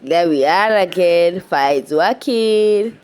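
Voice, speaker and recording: A young Kenyan woman with a Kenyan accent narrating her outdoor cooking vlog in a relaxed, chatty way, a little stuffy from a cold. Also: lowish voice, takes it slowly, smooth. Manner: relaxed and chatty, with frequent "yeah" and restarts. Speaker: cooking vlogger. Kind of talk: casual voice-over narration of a cooking video.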